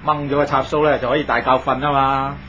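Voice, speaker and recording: A man's voice: medium pitch, flat delivery, fast talker, natural voice. An actor playing a curious, practical male workmate giving advice in a 1980s Hong Kong Cantonese radio drama. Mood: practical, advising. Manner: inquisitive, advising. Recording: slightly dull, noisy old radio recording.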